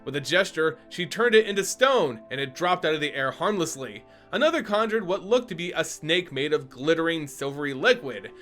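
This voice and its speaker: Male storyteller, fantasy narration, theatrical and emphatic, slightly high voice with lively swings in pitch. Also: fairly quick, few pauses, faint music behind. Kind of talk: dramatic reading of a fantasy story.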